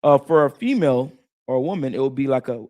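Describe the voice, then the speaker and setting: A man co-hosting a Bible study talk, explaining in a casual, animated way and stumbling over words at times. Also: medium pitch, fast. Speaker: co-host teaching scripture.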